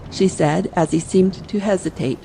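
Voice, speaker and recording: A woman's voice: on the low side, normal speed, dark tone, slight background noise, low-quality recording. A woman narrating a story in a scripted audiobook style meant for bedtime listening. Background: ambience under the voice.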